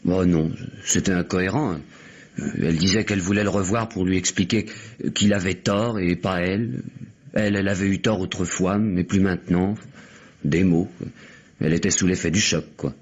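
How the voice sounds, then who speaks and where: Husky, flustered grocer. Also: male voice, low voice, steady tone, moderate pace, darkish tone. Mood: hesitant. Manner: hesitant, repeating words, colloquial. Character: flustered, chatty male grocer, eager witness. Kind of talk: French radio mystery play acting. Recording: dull, muffled vintage radio recording.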